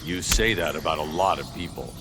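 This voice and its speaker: Loud rumbling game ambience surrounds a stern vigilante. His voice is very deep. He talks in short, commanding phrases, grim and controlled. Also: a little fast, very noisy. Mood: weary firmness. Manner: terse, commanding. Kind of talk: video game fight intro dialogue.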